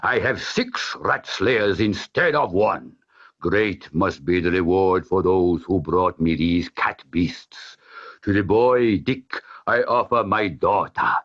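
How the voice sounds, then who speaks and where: A man reads as a grand, pompous sultan in a storybook. The voice sits low and drawn out, with a husky edge. The recording is a little dull, with faint music underneath. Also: a bit bright, heavily acted. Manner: slow, grand, proclaiming. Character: pompous booming male sultan, grand and greedy.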